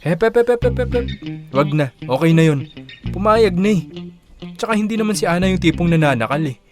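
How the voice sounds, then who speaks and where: A man's voice: sits high, expressive, a little fast, over music. A man acting a playful young office buddy in a Filipino audio drama, coaxing and teasing with a Filipino accent. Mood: playful, insistent.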